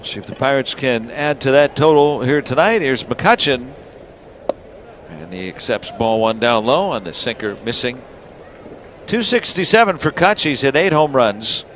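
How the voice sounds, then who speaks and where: A man calling a baseball game live on the radio as play-by-play announcer, in measured clipped phrases with pauses. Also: medium pitch. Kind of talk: live radio sports commentary. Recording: noisy outdoor ballpark radio broadcast. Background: steady ballpark ambience.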